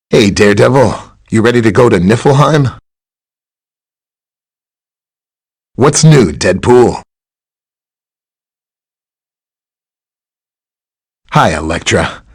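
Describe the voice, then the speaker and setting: A friendly, knowledgeable superhero scientist from a video game. His voice sits on the low side with a husky grain. The pitch moves in an animated way, and he talks like an easygoing ally, conversational even when explaining. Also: full character voice. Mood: friendly greeting. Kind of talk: video game character dialogue, briefing and greeting.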